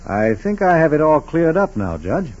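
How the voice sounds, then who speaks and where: A steady federal investigator from a 1940s radio drama, husky and businesslike. His pitch moves freely as he lays out facts with clipped deliberation. The old recording is dull and muffled. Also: normal speed. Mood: reasoning. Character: steady, methodical male FBI special agent investigating a case.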